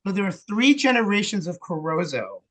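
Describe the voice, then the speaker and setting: American guy with a fairly high voice, casual podcast chat, animated, kinda thinks out loud in bits. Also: normal speed. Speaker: actor, director and playwright, podcast guest. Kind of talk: casual conversational interview.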